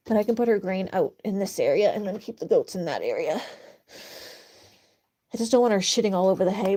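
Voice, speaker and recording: A woman chatting casually and softly during a livestream from a barn, laughing often and cooing baby talk to her chickens. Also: medium pitch. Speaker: vlogger caring for animals.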